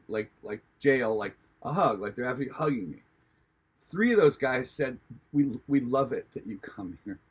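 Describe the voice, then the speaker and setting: Guy from hawaii, kinda husky voice, rambling earnest story with lots of stops and restarts. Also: mid-range voice. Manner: halting, many restarts and fillers; earnest, reflective. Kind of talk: personal testimony, casual storytelling.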